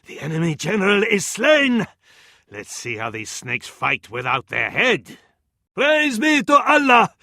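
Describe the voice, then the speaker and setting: An actor with an Arabic accent playing a fervent, scornful medieval advisor to a sultan in a video game, in a florid, declamatory style. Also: male voice, high voice, wildly swooping pitch, unhurried, sharp tone, no room sound, studio quality, heavily acted. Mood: scornful, grave, then fierce, contemptuous. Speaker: battle advisor in a strategy game. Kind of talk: theatrical video game voice acting.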